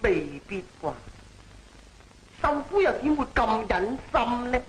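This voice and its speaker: An actor with a Cantonese accent playing an earnest, righteous official in an old opera film, with drawn-out, half-sung theatrical phrasing. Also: male voice, very high-pitched, big pitch swings, background noise, full character voice. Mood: troubled disbelief. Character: earnest, righteous male official doubting an unjust verdict. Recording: old film soundtrack, loud opera music.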